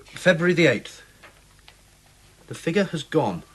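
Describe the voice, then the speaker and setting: An actor playing a measured police inspector in a period radio drama, terse and questioning. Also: male voice, a little high, sing-song, fairly bright tone, a little hiss or hum, poor audio. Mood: reading aloud.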